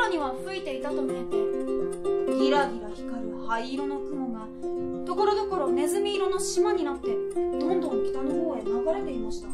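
A young woman in her twenties performs a literary tale on stage with expressive, theatrical delivery. Her voice is high, and the room adds a clear echo. Plucked harp music plays behind her. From Tokyo, Japan. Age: 20s. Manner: theatrical, expressive delivery, acting out children's dialogue. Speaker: stage storyteller. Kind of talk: dramatic literary recitation. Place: small indoor stage.

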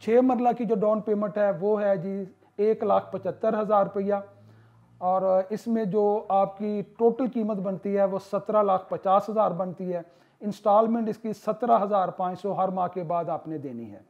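Male consultant, high and soft-toned, persuasive voice-over full of "uh" fillers, muffled lo-fi sound. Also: vocal fry. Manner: persuasive sales pitch, many "uh" pauses. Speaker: real estate consultant. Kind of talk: promotional voice-over.

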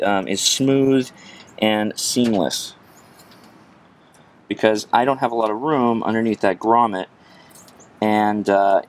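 A man in his thirties giving a casual hands-on tutorial, thinking aloud as he works. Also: lowish voice. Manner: casual, chuckling, thinking aloud while working. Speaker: DIY presenter. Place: outdoors at an open car. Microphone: camera set near the work. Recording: clear background noise, outdoor ambience.

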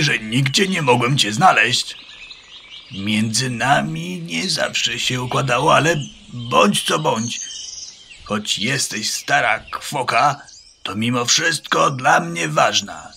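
Gravelly, anxious farmyard bird. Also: male voice, medium pitch, lively intonation, takes it slowly, sharp tone, slight background noise, full character voice. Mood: earnest. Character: anxious loyal male farmyard bird, earnest, cautious. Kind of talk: children's radio play acting.

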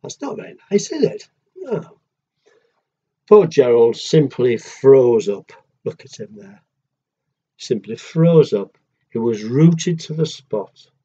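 Slow, expressive older male storyteller. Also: mid-range voice, slightly rough, dull audio. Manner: expressive, drawn-out delivery with asides to children. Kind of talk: reading a children's picture book aloud. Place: small wooden shed, indoors.

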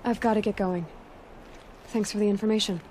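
An actress playing a bold young hunter in a video game cutscene, direct and self-assured with a dry edge. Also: female voice, mid-range voice, fairly even intonation, fairly quick, dark tone, a little hiss or hum, close to an everyday voice. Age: young adult. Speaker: game protagonist.

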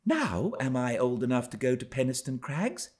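A man's voice: mid-range voice, sing-song, moderate pace, darkish tone, voice pushed up, lightly acted. A man voicing an eager, curious, spirited young girl in a classic storybook reading, pleading and wondering. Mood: wistful, eager.

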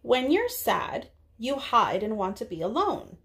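Slow, higher-pitched woman teacher reading to children. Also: very animated, fairly bright tone. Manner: slow, deliberate, child-directed delivery with drawn-out words. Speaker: preschool teacher. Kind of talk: children's read-aloud and lesson to camera. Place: small indoor room.

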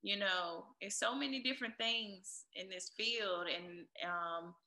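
A woman speaks as a guest in a casual interview. Her voice is strikingly bright and sharp, at a middling pitch. She talks in an encouraging, motivational way, and the sound is noticeably echoey. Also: takes it slowly. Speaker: interview guest, air traffic controller and DJ. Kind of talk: casual conversational interview answers.

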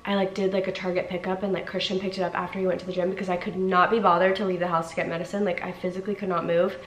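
A young woman in her twenties talks to her camera from a living-room couch, her voice nasal and congested from a cold. She rambles casually, leans on "like" a lot and lets some sentences fade out softly. The room gives her voice a noticeable echo, with a faint hiss behind it. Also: on the low side, level tone, rapid-fire. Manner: chatty, lots of "like" fillers, sometimes trails off quietly. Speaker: vlogger. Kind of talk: casual vlog chat to camera. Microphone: camera in front at sitting distance.

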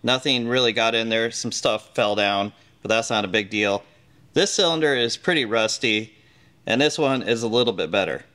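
A man's voice: fairly low pitch, normal speed, pushed and bright. A middle-aged man hosting a workshop show, explaining plainly and step by step as he works with his hands in a large indoor garage. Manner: plain, matter-of-fact explaining while working. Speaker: host.

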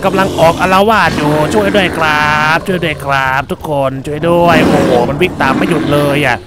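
A man playing a boastful, excitable hapless villager in a game cartoon. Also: on the high side, brisk, very noisy, close to an everyday voice. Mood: panicked.

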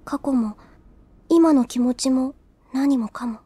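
A woman's voice: high voice, takes it slowly, mellow, husky, no echo, heavily acted. An actress playing an earnest, easily flustered teenage schoolgirl in a Japanese anime audio drama. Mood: earnest, worried.

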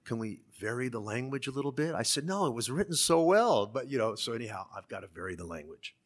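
A man in his sixties from California's Central Valley, an author speaking and reading to the public with measured, deliberate phrasing. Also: medium pitch, big pitch swings. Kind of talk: public talk and literary reading. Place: conference room. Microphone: gooseneck podium microphone.

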